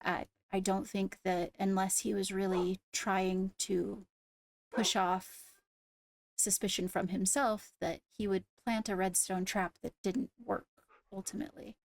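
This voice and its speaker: Woman playing a creaky-voiced, deliberate investigator. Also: medium pitch, steady tone. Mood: serious, reasoning. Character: measured, diligent female fantasy court investigator. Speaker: roleplay player acting as an investigator. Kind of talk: improvised in-character roleplay dialogue.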